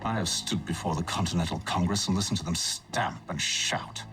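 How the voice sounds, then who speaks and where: An actor in a video game playing a haughty older Templar leader, dry, formal and condescending. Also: male voice, low-pitched, fairly quick, far from the mic, music in the background, close to an everyday voice. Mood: disdainful. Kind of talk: video game cutscene acting.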